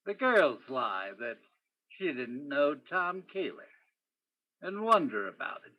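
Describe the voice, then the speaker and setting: A man's voice: fairly high pitch, lo-fi sound. A man playing a probing, wry police detective in an old-time radio crime play, with terse, hard-boiled questioning. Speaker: student or guest stage actor in radio play.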